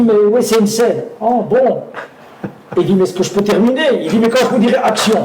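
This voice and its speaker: High, mellow-voiced Belgian man in an echoey hall. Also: slight background noise. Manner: hesitant, many fillers and restarts, laughs while telling anecdotes. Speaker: actor guest. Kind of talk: live talk on stage, storytelling.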